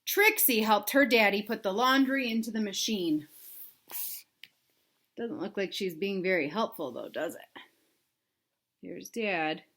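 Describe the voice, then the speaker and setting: A woman reading a picture book aloud to young children, pausing to explain things simply and ask them questions. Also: medium pitch, fairly bright tone. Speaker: read-aloud storyteller for children.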